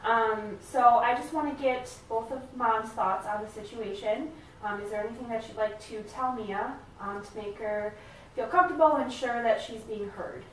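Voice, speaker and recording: A very echoey recording with faint noise carries a supportive family therapist, played by a woman. Her voice sits on the high side. She validates gently and hesitates often as she leads a role-played session. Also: normal speed, natural voice. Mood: calm, supportive. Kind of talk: improvised therapy role-play. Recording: echoey room, distant mic, faint noise.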